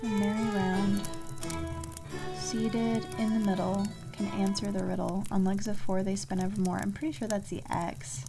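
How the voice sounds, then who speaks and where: A woman's voice: mid-range voice, level tone, normal speed, dark tone. A woman streaming a puzzle game with casual commentary, thinking aloud and musing to herself as she plays. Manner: musing to self, reading clues aloud. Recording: music playing behind the voice. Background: game music.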